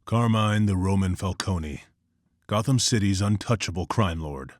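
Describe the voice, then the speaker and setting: A man voicing a grim, brooding masked vigilante in terse, measured, somber dramatic narration. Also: no room sound, clean studio sound. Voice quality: deep, husky.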